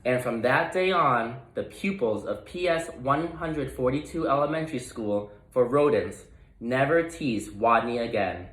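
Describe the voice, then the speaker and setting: Slow-reading man telling a children's story, echoey. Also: medium pitch, expressive, fairly bright tone. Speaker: storybook reader. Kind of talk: expressive children's read-aloud narration.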